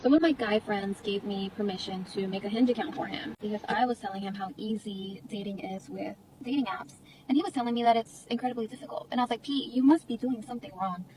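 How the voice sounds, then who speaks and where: A woman's voice: mid-range voice, quick pace, hardly any pauses, noisy background. A woman ranting in a casual vlog, exasperated and complaining.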